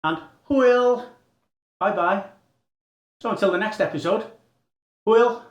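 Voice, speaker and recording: A man's voice: sits high, slow, highs cut off. A man from Wales, hosting a casual language lesson as a learner, chatty and a little tentative, saying greeting phrases aloud for the listener to copy. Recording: echoey room.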